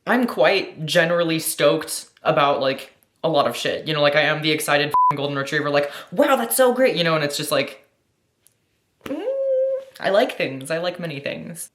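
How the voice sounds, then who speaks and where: A woman's voice: sits low, expressive, moderate pace, a bit bright, a little hiss or hum. An American woman chatting casually in a Q&A vlog, effusive and slangy, swearing freely and peppering everything with "like". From United States. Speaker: vlogger answering questions. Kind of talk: casual Q&A chat. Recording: roomy echo.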